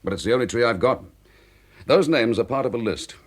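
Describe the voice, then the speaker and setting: An actor playing a confident police chief inspector in a radio drama, dry, wry and clipped. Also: male voice, medium pitch, animated, fairly quick, close to an everyday voice. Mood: firm, determined.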